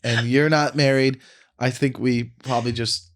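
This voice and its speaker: A man's voice: medium pitch, steady tone, normal speed. A man in his forties, a comedy podcast host, chatting casually and repeating words as he starts his sentences. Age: 40s. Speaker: stand-up comedian. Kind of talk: casual banter.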